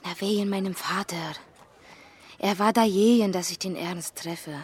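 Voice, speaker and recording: A woman's voice: medium pitch, fairly even intonation, a little slow, husky. A woman playing a shy, naive teenage butcher's daughter, hesitant and guarded, in a German radio crime drama. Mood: uneasy, confiding. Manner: hesitant, guarded, simple short answers.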